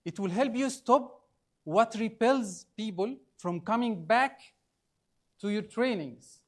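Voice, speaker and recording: Slow high-voiced trainer. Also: male voice, animated. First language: Arabic. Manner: deliberate, coaxing, quizzes the audience often. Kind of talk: live training workshop.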